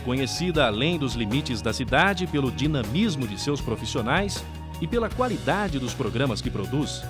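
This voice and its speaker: Brazilian man, documentary narrator, animated intonation, scripted delivery, clear music bed underneath. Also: medium pitch, moderate pace.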